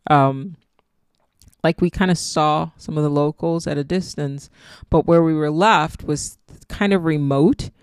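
A woman's voice: low-pitched, unhurried, clear, resonant tone, no room sound, studio quality. A woman with a Nigerian accent hosting a solo podcast, musing reflectively and meandering as she talks. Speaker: podcast host. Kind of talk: casual solo podcast monologue.

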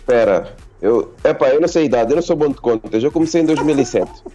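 A man's voice: mid-range voice, quick pace, soft background music. A man from Portugal, a beat producer rambling casually in a livestream interview and saying yeah a lot. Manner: relaxed, rambling, says yeah often.

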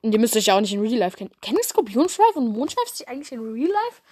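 A young woman with a fairly high voice talks casually into a close mic at home, hosting her own podcast. She rambles with many fillers and bits of slang. Her nose is stuffed up from a cold. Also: very animated, fast, mellow, slightly rough. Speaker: podcast host. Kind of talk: casual fan podcast monologue.

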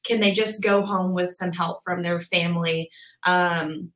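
A woman's voice: on the low side, fairly even intonation, unhurried, fairly bright tone. A woman in her twenties, a physical therapist, chatting casually as a podcast guest and explaining her work with many "like"s and "um"s. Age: 20s. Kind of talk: casual interview chat.

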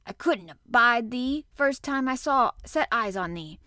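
Woman acting an indignant old Yorkshire gardener, loud and scolding. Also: fairly high pitch, animated, unhurried, no echo, clean studio sound. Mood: angry, scolding. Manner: haranguing, blunt dialect scolding.

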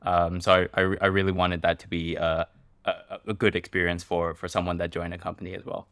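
A man hosts a casual podcast interview, drawing out long, searching questions. His voice is very deep and recorded close and dry. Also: flat delivery, fairly quick, fairly bright tone, no echo. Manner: asks rambling, searching questions. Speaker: podcast host.

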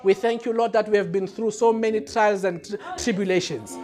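A man leading a passionate, repetitive prayer as a pastor, often raising his voice to a shout. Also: high voice, steady tone, brisk, soft background music. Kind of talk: fervent spoken prayer and worship.

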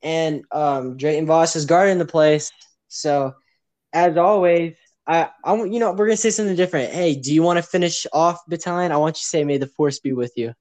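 A man's voice: fairly high pitch, fairly quick, a little mellow. Upbeat male podcast host on a call. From Mexico. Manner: playful, upbeat, asks lots of questions. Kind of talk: casual chat. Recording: remote call, voice breaking up.

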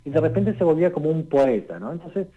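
A man, giving a conversational radio literature column and explaining things unhurriedly, often pausing on "eh" and tagging his sentences with "¿no?". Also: a little high, sing-song, dark tone, rough recording. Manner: unhurried and explanatory, tags lines with "¿no?" and "eh".

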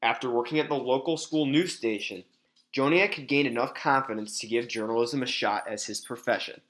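American guy narrating a sports podcast piece from a script, medium pitch. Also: brisk, a bit bright.

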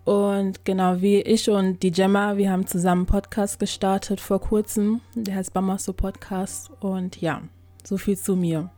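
A woman's voice: mid-range voice, flat delivery, normal speed, dark tone. A woman in her twenties, a podcast guest, answering briefly and matter-of-factly. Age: 20s. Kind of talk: casual podcast chat.

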